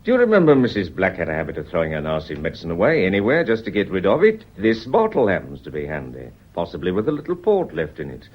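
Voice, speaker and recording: Deep, husky British police inspector. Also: male voice, huge pitch swings, heavily acted. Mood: explanatory, reassuring. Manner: measured, deferential, explanatory. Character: shrewd, courteous male Scotland Yard police inspector. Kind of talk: vintage British radio drama acting. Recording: dull, lo-fi old radio recording.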